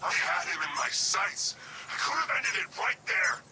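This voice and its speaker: A man's voice: sits high, very animated, moderate pace, noisy background. A man playing a vengeful, impatient armored commander in a video game, speaking with seething threat and hatred. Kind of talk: video game villain acting.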